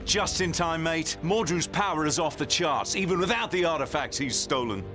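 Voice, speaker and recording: A sardonic British rogue magician from a video game, voiced by a man, rather high for a man and full of swing. He is flippant and slangy, with loud music behind him. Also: lively intonation, normal speed, lightly acted. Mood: wry. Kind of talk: video game cutscene acting.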